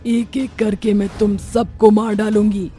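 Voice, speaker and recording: Woman voicing a dark-voiced gloating witch. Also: medium pitch, level tone, a little slow, faint music behind, close to an everyday voice. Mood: menacing. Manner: gloating, taunting, menacing. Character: cackling vengeful female witch haunting a metro.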